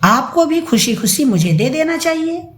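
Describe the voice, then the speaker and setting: A woman's voice: high-pitched, sing-song, moderate pace, voice pushed up. A woman voicing a shameless, entitled grown son with a brazen, irritated manner in an audio story. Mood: sarcastic. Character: shameless, entitled adult son demanding his inheritance. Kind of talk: dramatised audio story reading. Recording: roomy echo.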